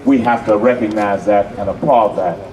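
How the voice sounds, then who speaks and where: A pastor addressing a community meeting in a measured, rhetorical style that repeats phrases. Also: male voice, lowish voice, a little hiss or hum. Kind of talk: preacherly address to a crowd. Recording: distant mic with loud crowd.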